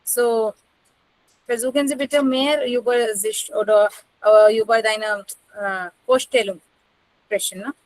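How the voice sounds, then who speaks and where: Female language teacher, Indian accent, high pitch, dry close recording, prompting style. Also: steady tone, takes it slowly, no room sound, low-quality recording. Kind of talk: teaching an online group class.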